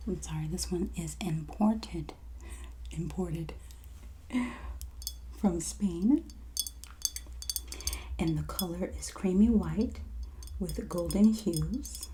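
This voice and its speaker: A woman with a low, mellow voice speaks very softly and breathily, often nearly whispering. She plays a merchant in an ASMR roleplay, presenting items gently and very slowly, close to the mic over faint background noise. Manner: slow, gentle, unhurried presenting. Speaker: ASMR host playing a wine and spirits merchant. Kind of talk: soft-spoken ASMR roleplay, product showcase.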